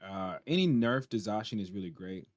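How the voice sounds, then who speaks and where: A tired man with a hoarse voice, casually talking through game patch notes at his computer and reacting as he reads. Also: lowish voice, animated, normal speed, no room sound, clean studio sound. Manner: chatty, reacts aloud while reading on screen. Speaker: gaming channel host.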